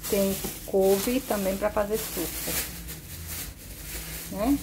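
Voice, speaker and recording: A woman showing her grocery haul at home, listing each item one by one in a relaxed, casual way. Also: mid-range voice, background noise. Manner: slow, listing items one by one. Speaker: vlogger. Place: kitchen at home, indoors.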